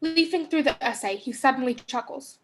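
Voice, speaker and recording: A woman's voice: sits high, normal speed, fairly bright tone, slight background noise. A young woman giving scripted narration as the reader of stage directions for a play. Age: young adult.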